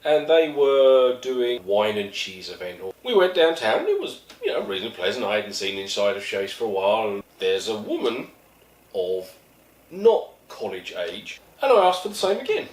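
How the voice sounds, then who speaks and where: A middle-aged man with an East London accent tells wry, self-deprecating stories, his pitch rising and falling a lot. He sits back from the mic in an echoey room. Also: sing-song, moderate pace, far from the mic. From East London, England. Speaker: vlogger telling stories. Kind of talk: casual storytelling monologue.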